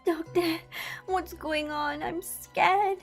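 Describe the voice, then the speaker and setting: A woman's voice: very high voice, fairly even intonation, takes it slowly, heavily acted. A woman playing a frightened, indignant girl companion in a sci-fi audio drama, full of anxious questions. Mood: frightened, panicky. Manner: panicky, questioning. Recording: music under the dialogue.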